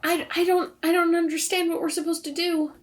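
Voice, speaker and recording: A woman's voice: very high voice, little pitch movement, fairly quick. A woman player in a tabletop roleplaying game, casually improvising as her character and describing her actions.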